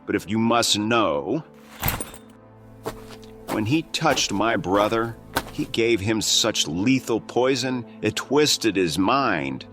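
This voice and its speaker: Low, condescending priest inquisitor. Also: male voice, animated, over music. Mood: cold accusation. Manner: deliberate, condescending, preachy. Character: menacing priest inquisitor, gaunt, accusing, condescending. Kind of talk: audio drama acting.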